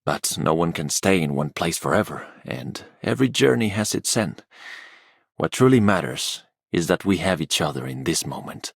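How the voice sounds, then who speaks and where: An actor voicing a weathered, wistful seafaring wanderer in a video game, reflective and sincere. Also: male voice, low-pitched, lively intonation, moderate pace, vocal fry, breathy edge, no room sound, exaggerated voice.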